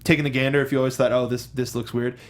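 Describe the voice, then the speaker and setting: An American man hosts a film talk show from a studio. His delivery is casual and conversational, with frequent repeated words and halting restarts as he works through each thought. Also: mid-range voice, little pitch movement. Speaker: host of a film review show. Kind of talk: casual film discussion chat. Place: studio with a control booth.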